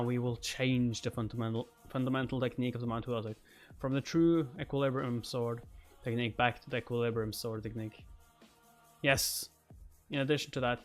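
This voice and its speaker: A man reads as a dignified older leader of a martial sect from a comic. He is formal, measured and commanding. Music plays underneath. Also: medium pitch, lightly acted. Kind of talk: comic read-aloud.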